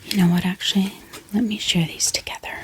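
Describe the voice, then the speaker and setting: A woman speaking in soft, gentle ASMR style, showing items and softly repeating words. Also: mid-range voice, flat delivery, fairly quick. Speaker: ASMR book haul host. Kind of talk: soft-spoken whispered ASMR show-and-tell.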